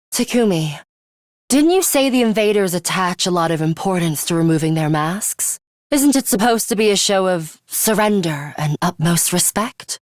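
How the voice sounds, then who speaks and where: Video game role: composed, coolly ruthless young female student fighter, deliberate slow delivery, dry studio sound. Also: on the high side, no echo. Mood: coldly bloodthirsty. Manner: measured, serious, deliberate. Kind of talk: English video game character voice lines.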